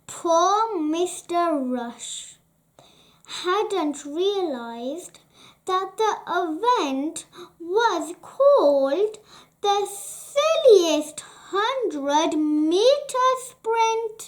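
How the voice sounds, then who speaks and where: A reader narrating a children's bedtime story in a deliberate, pausing storytelling way. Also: very high voice, expressive.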